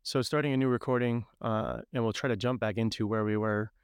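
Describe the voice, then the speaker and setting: A man's voice: fairly low pitch, fairly even intonation, a little fast, clean tone, no room sound, studio quality. A man from Puerto Rico hosting a podcast, talking casually and thoughtfully with his sister at his parents' house, often affirming what she says. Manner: thoughtful, agreeable, frequent backchannel affirmations. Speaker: podcast host.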